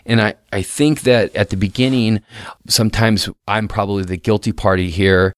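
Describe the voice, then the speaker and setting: A man's voice: fairly low pitch, fairly even intonation, moderate pace, no echo. A middle-aged man talking casually and earnestly into a close mic, often restarting and repeating words as he shares advice. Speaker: podcast guest host.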